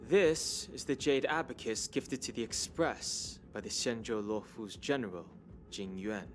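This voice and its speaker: An actor playing a reserved young guardian in a video game, asking short, measured questions. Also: male voice, mid-range voice, lightly acted. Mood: guarded. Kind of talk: video game cutscene acting. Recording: game audio with background music.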